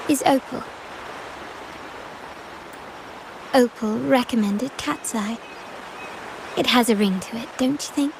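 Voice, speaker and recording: An actress voicing a poised, elegant young female agent in a video game, refined and measured with a coolly teasing manner. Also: a little high, background noise. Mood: composed, inviting. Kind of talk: scripted video game character dialogue. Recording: music behind the voice.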